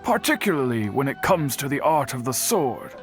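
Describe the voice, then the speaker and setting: An actor playing a courteous, solemn middle-aged swordsmith in a video game, speaking formally and earnestly. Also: male voice, mid-range voice, very animated, normal speed, music in the background, natural voice. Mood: pensive.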